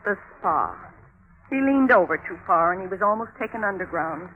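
On a tinny, faintly hissy old radio recording, an exasperated spouse. The voice is high and melodramatic, breaking into short, sighing phrases. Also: male voice, animated, normal speed. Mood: woeful recounting. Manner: sighing, clipped, dramatic phrases. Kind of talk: old-time radio drama acting.

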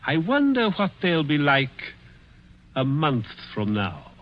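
A man's voice: a little high, wildly swooping pitch, slow, heavily acted. An actor playing a suave, menacing stepfather with mock-genteel, hesitant politeness in a 1940s radio thriller. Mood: gloating. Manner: mock-genteel, drawling hesitations, sinister chuckles. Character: suave menacing stepfather tormenting his stepson with threats. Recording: muffled, noisy 1940s radio recording.

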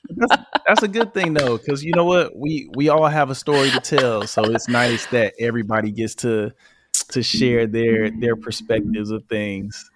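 A man hosting a podcast interview in a relaxed, casual way, with lots of easygoing fillers. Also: medium pitch, normal speed, noisy background. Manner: relaxed, leans on "you know" fillers. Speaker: podcast host. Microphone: remote call.

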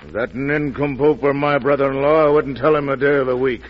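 An actor playing a brash, sardonic, skeptical speculator with a scornful manner in an old-time radio drama. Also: male voice, mid-range voice, a little fast, natural voice. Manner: blustery, scornful. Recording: muffled vintage radio recording.

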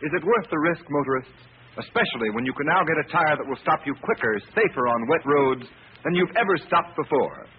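A man's voice: fairly high pitch, lively intonation, brisk. A man announcing a scripted 1930s radio advertisement with an emphatic, earnest sales delivery. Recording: tinny, faintly noisy old radio recording.

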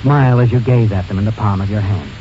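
An actor playing a knowing, ominous storyteller in 1940s radio suspense narration. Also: male voice, fairly low pitch, quick pace, natural voice. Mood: sly, measured. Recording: muffled old radio recording. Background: clear background music.

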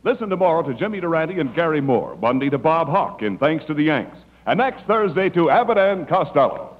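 A man with a fairly high, animated American voice announces a 1940s radio show. His delivery is polished and projected, on a muffled old hall recording. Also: a little fast.

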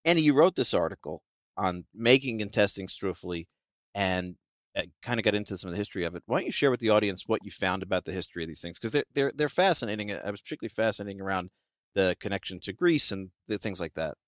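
A low-voiced man hosting a food podcast, talking quickly and eagerly as he asks questions. His voice is close and dry, with no room sound. He keeps the chat casual and moving. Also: lively intonation, no echo. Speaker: podcast host.

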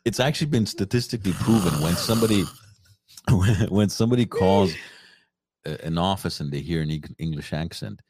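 Very deep gravelly guy, animated story, laughs a lot, dry sound. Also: brisk, crowd noise. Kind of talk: casual chat.

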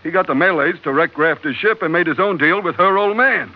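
An actor playing a brash, slangy, loyal sailor sidekick in an old-time radio drama, with a wisecracking manner. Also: male voice, sits high, fairly quick, close to an everyday voice. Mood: brash, amused. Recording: dull, lo-fi, noisy old radio recording.

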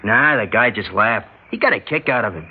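An actor playing an eager, dim young hoodlum sidekick, talking slang in an old radio drama. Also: male voice, fairly low pitch, animated, a little fast. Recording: dull lo-fi old radio, faint noise.

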